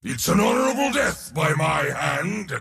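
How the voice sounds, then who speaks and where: A man voicing a proud, boastful god of war for a video game, gravelly, pompous and theatrical in delivery. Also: on the high side, sing-song, a little slow, fairly bright tone, in a large hall, full character voice. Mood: haughty, taunting. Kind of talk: video game character voice lines.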